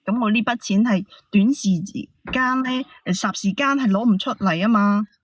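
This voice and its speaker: A woman's voice: normal speed, no room sound. A woman calling a show, defending herself in a hesitant, evasive way. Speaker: caller. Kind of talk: casual, defensive argument. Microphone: call into show. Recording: dry, dull low-fidelity call audio.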